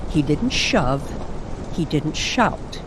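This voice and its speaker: Female storyteller for nostalgic radio-style narration, unhurried and melodic in pitch, music underneath. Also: big pitch swings, noisy background.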